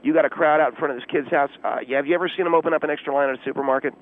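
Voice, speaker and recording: A man playing an officious, self-important but clueless volunteer auxiliary policeman on a phone line, deadpan radio comedy with pompous, halting tactical jargon. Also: a little high, voice pushed up. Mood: officious, confident. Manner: pompous pseudo-tactical jargon, hesitant filler-laden delivery. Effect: telephone line. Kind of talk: deadpan comic radio call-in. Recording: tinny, narrow phone line.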